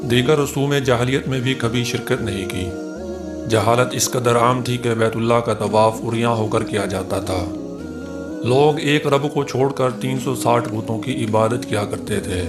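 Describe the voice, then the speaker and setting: A man's voice: mid-range voice, steady tone, measured pace. A man reading a scripted religious history narration in a formal, reverent manner. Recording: music behind the voice. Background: music.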